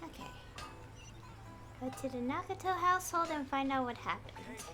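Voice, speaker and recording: A woman streams a video game with casual commentary. Her voice is high, and game music is clearly audible behind it. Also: animated, normal speed.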